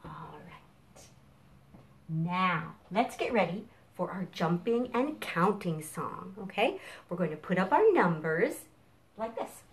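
A middle-aged woman leading an interactive storytime for young children, speaking in an animated, encouraging way with simple instructions. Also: fairly low pitch, slow pace. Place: home kitchen, indoors. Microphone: camera a few feet away.

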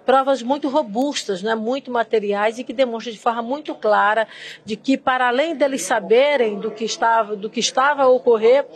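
A woman with a Brazilian Portuguese accent, giving an emphatic, deliberate press statement into a cluster of press microphones in an indoor hallway. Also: on the high side. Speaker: politician at press scrum.

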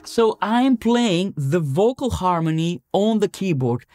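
A man's voice: high-pitched, animated, unhurried, darkish tone, no echo, clean studio sound. A man in his thirties explaining music production step by step in a conversational tutorial style. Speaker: tutorial presenter. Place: small home-style music studio, indoors.

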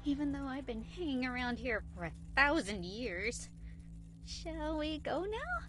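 A woman's voice: high-pitched, very animated, unhurried, fairly bright tone, soft background music, exaggerated voice. A woman playing a plucky, friendly young girl held captive in a video game cutscene. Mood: plucky, relieved.

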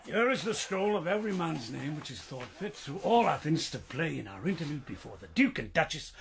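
Earnest, officious craftsman-director, British, formal and fussy, high and animated, radio comedy. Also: a little fast, crowd noise. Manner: fussy, throat-clearing, formal.

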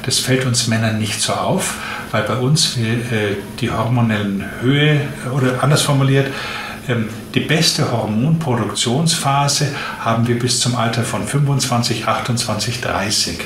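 A man's voice: mid-range voice, moderate pace. Echoey, noisy recording of a man explaining. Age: 57, late fifties. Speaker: interviewed physician. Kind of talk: interview, explanatory talk. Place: small indoor room, seated at a desk. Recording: echoey room with clear background noise.

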